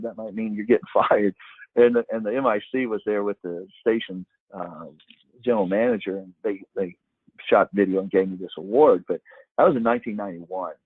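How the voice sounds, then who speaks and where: A middle-aged man with a husky voice giving an informal, conversational webinar talk as presenter, explaining things and reminiscing. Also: on the low side, dry recording. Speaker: webinar presenter, former broadcast meteorologist. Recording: muffled narrow phone-like line.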